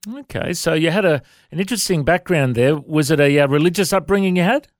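A man's voice: a little high, fast, dry recording, studio quality. A man hosting an interview, asking friendly prompting questions. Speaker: interview host. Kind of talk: radio interview.

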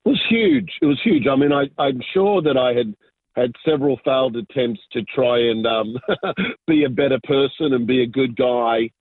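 A man, telling his story as an interview guest in a reflective, halting way full of fillers and restarts. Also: medium pitch, no room sound. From Argentina, raised in Sydney. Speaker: guest, business pastor. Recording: dry, phone-like narrow sound.

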